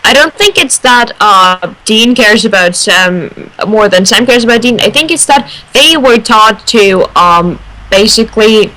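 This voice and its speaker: A woman's voice: fairly high pitch, animated, measured pace, sharp tone, poor audio. A woman in a casual fan discussion, analysing aloud and searching for her words. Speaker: fan radio panelist.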